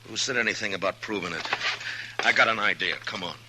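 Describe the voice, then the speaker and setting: A man's voice: lowish voice, fast talker, lightly acted. A man acting a stern, dry American frontier marshal in a 1950s radio western, with a curt, commanding way of talking. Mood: brooding, urgent. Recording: 1950s radio recording with music.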